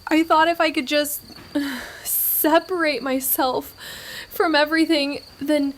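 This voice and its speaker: Night insects sit behind a high-pitched young woman. She is guilt-ridden and anguished, confessing slowly and haltingly. It is naturalistic, emotional audio drama. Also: steady tone, a bit bright, slight background noise. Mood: tearful, confessional.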